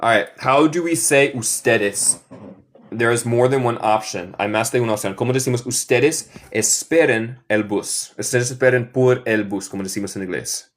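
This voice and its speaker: A young American man from the northern United States with a Midwestern accent, teaching English in Spanish as a second language on a live online class. He repeats each example sentence slowly. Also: mid-range voice. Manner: slow, repeats example sentences several times.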